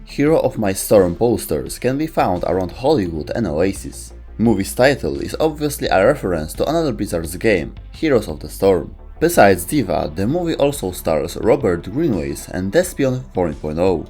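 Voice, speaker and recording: A man's voice: sits low, sing-song, moderate pace, hardly any pauses, darkish tone, soft background music. A man reading scripted narration as a video host.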